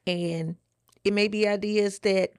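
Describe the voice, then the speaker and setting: A woman co-hosting a podcast, giving casual advice in a deliberate, encouraging way. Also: medium pitch, fairly even intonation, clear, resonant tone, no room sound, clean studio sound. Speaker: podcast co-host.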